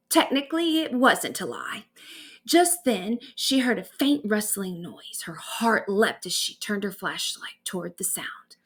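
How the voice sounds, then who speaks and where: A woman narrating a bedtime story aloud in an expressive storytelling manner. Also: medium pitch, breathy edge. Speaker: author reading own stories aloud.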